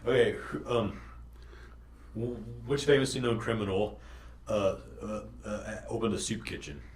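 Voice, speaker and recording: A man's voice: on the low side, a little slow. A young adult man hosting a trivia podcast, asking questions hesitantly with many restarts. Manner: hesitant, lots of fillers and restarts. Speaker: podcast host, quizmaster. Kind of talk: casual trivia chat. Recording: roomy echo, faint ambience.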